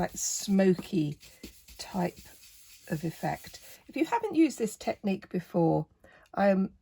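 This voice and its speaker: A woman with a croaky voice, chatting casually through a painting tutorial and gently encouraging the viewer. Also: lowish voice, rough recording. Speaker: art tutor.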